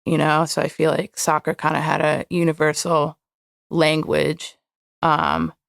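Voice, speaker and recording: A woman's voice: low voice, completely flat delivery, measured pace, creaky, no echo, clean studio sound. A young woman whose first language is Hebrew, a podcast guest talking candidly and reflectively about her past. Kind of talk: candid personal interview.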